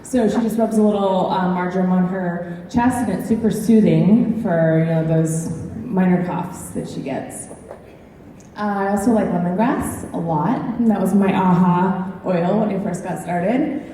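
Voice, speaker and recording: A woman's voice: lowish voice, a little slow, dark tone. A woman on a panel giving casual recommendations in a chatty way, often saying "like". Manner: chatty, frequent "like". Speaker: panel speaker. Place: large echoing event hall. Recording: echoey hall, distant mic, faint noise.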